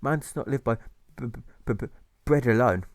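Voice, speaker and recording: A man's voice: medium pitch, a little fast, dry recording, studio quality, natural voice. A man voicing an earnest, principled young son with a nervous, stammering way of talking in an audiobook. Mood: polite, hesitant. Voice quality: stammering on consonants.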